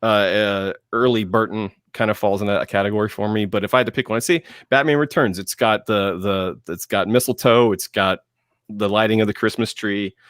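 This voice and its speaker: A man's voice: fairly low pitch, fairly even intonation, brisk, fairly bright tone, dry recording, studio quality. A man talking casually as a panelist about pop culture, thinking aloud and often repeating his phrases. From lived in Georgia, US.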